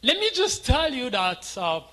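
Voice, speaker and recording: A man's voice: very high-pitched, huge pitch swings, measured pace, sharp tone. A middle-aged man preaching as a guest pastor, speaking in short phrases and addressing his listeners directly. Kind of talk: sermon to a congregation.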